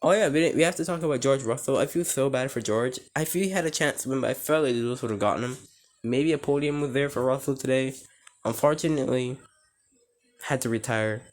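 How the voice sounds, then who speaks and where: A man with a hoarse, nearly lost voice, giving an excitable, rambling casual reaction to a race. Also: medium pitch, brisk. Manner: excitable and rambling, many restarts and "like"s. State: hoarse, voice almost gone. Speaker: racing fan reviewing a race.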